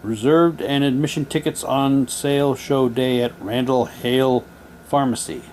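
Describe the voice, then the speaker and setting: A man's voice: mid-range voice, steady tone, measured pace, darkish tone, slight background noise. A man casually reading printed material aloud, switching between theatrical delivery and muttered asides.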